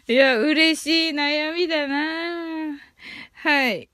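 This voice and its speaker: A woman's voice: high voice, steady tone, extremely slow, pushed and bright, dry recording, clean studio sound. A woman hosting a casual live audio stream, chatting politely with her listeners and often breaking into giggles.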